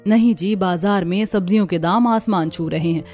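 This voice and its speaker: A woman's voice: on the low side, moderate pace, darkish tone, faint music behind, natural voice. A woman playing a careworn, resigned mother of a poor family in a cartoon story, earnestly explaining. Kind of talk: Hindi cartoon story voice acting.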